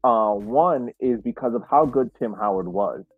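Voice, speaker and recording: An American man co-hosting a sports podcast, giving casual, measured analysis point by point over thin, phone-like audio. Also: mid-range voice, breathy edge, no echo, soft background music.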